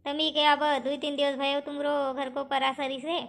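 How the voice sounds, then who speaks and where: A woman acts out a cartoon village farm woman with an extremely high, piercingly bright voice. She is talkative and plaintive, haggling in a rural Powari accent. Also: little pitch movement, normal speed, gravelly. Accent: Powari, eastern Maharashtra and Balaghat region. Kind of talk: cartoon comedy dialogue.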